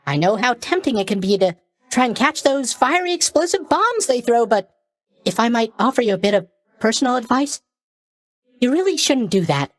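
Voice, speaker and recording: A man's voice: very high-pitched, lively intonation, moderate pace, no room sound. A man playing a cheery, oblivious young god of sleep in a video game, chatty and blithely tactless in his mock sympathy. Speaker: video game character voice actor. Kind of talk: video game character voice lines.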